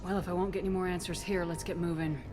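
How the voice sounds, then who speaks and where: An actress portrays a resolute military commander in a video game. Her low female voice is firm and no-nonsense, that of a principled leader. Music is clearly heard behind it. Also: steady tone, fast, lightly acted. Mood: firm, wary. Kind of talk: video game cutscene acting.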